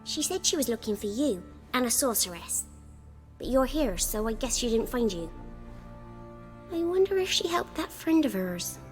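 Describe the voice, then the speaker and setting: A woman's voice: fairly high pitch, sing-song, normal speed, faint music behind. A woman voicing an earnest little village girl in a fantasy game, speaking simply and candidly. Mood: frank. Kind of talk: video game voice acting.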